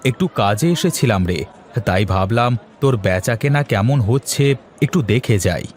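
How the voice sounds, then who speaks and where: A man voicing a weary, glum elder brother in a cartoon, speaking in a measured, downcast way. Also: medium pitch, natural voice. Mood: concerned. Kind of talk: dubbed moral cartoon dialogue.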